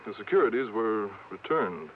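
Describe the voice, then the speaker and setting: Dry insurance executive on old-time radio. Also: male voice, lowish voice, sing-song, normal speed. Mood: matter-of-fact. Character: businesslike, dryly skeptical male insurance executive. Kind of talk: 1950s radio drama acting. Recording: tinny old radio recording, faint noise.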